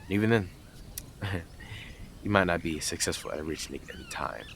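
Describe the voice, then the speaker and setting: A man acting a deep-voiced, smug yandere intruder. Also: normal speed, fairly bright tone, slight background noise. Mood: mocking, smug. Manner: blunt, taunting, sarcastic, with dramatic emphasis and scoffs. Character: blunt, smug, obsessive young male yandere neighbour, mocking intruder. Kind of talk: scripted audio roleplay addressed to listener.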